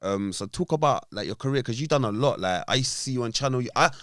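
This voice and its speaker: A man co-hosting a podcast chats in heavy street slang and keeps cutting in with hyped little interjections. His voice sits in an average pitch range. The recording is close and dry. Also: expressive, fast talker, a bit bright, no echo. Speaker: podcast co-host. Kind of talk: casual podcast chat.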